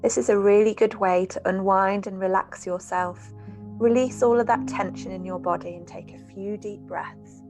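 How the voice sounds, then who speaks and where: Female relaxation guide for a children's bedtime meditation: medium pitch, gentle, pausing delivery, set over prominent music and rainfall. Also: fairly even intonation. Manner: soothing, gentle, unhurried instruction with pauses. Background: music and rain sounds.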